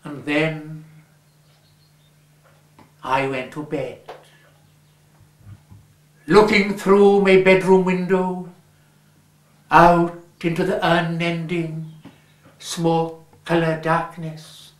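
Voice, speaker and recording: Slow, measured Welsh storyteller. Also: male voice, fairly high pitch, steady tone. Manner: measured, lingering on words. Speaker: reader. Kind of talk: storybook reading. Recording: roomy echo.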